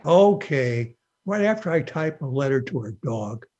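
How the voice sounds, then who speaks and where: A man's voice: mid-range voice, expressive, unhurried, darkish tone, dull audio, slight background noise, close to an everyday voice. A man playing a cheeky, mischievous young schoolboy nephew in an old-time radio comedy. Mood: cheeky, playful. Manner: cheeky, complaining, playful.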